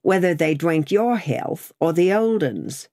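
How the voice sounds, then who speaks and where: Blunt male servant for a storybook, played by a woman, hoarse and gruff, varied intonation. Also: lowish voice, expressive, measured pace, mellow, no echo, studio quality. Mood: blunt retort. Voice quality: hoarse, growling. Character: gruff working-class man, blunt servant.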